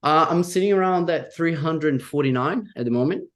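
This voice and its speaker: Casting: young Brazilian man, English as second language, eager, chatty agent with ums. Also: fairly high pitch. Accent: Brazilian Portuguese. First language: Portuguese. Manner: eager, lots of fillers, quick bursts. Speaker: real estate agent. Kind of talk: interview guest, role-played sales call.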